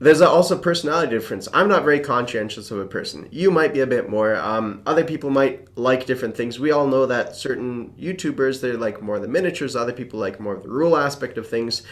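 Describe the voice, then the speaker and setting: A man's voice: medium pitch, animated, fast, few pauses. A young man whose first language is Czech, chatting casually with a guest on a video call from a room at home. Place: home room with shelves and framed picture.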